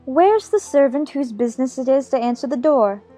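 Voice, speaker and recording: A woman's voice: high-pitched, lively intonation, normal speed, warm tone, faint music behind. A woman voicing a young storybook girl, earnest and fussy, easily puzzled and impatient. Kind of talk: dramatized audiobook character acting.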